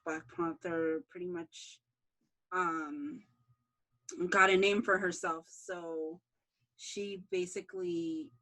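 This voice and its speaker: A woman's voice: fairly low pitch, a bit bright, low-quality recording. A woman being interviewed as a series creator, explaining things informally in casual conversation.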